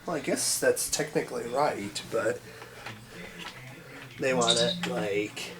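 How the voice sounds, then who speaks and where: A man with a stuffy head cold speaks slowly and haltingly, thinking aloud as he unpacks something. His voice sits at a middling pitch. The home camera recording is noisy, with clicks, and he often drifts away from the mic. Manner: halting, thinking aloud, many pauses and fillers. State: congested with a cold. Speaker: vacuum hobbyist doing an unboxing. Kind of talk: casual unscripted unboxing.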